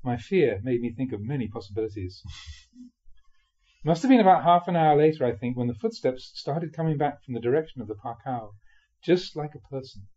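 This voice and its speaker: Mellow, slightly husky man reading aloud. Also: mid-range voice, lively intonation, fairly quick, muffled recording. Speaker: reader of Buddhist teachings. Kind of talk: expressive audiobook reading of a spiritual memoir.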